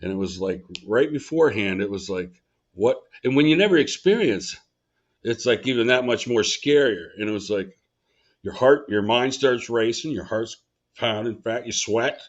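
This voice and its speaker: A man's voice: mid-range voice, expressive, normal speed. Man hosting a casual interview. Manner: casual, asks questions with restarts. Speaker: interviewer, host. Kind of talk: interview conversation. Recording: dull lo-fi audio.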